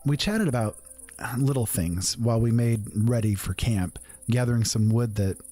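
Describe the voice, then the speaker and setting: A man telling a first-person fantasy story in a calm, unhurried, conversational way. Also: medium pitch, faint music behind.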